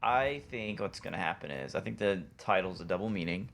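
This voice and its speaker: A man's voice: sits low, fairly quick, vocal fry, a bit bright. A man talking casually as a podcast co-host. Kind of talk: casual chat.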